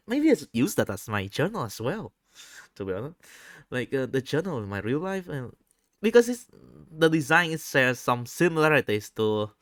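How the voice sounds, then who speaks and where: A man talks in a casual, unscripted audio diary, haltingly and often repeating his phrases. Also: medium pitch, a bit bright, no echo, studio quality. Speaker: vlogger recording an audio diary. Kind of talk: casual unscripted personal monologue.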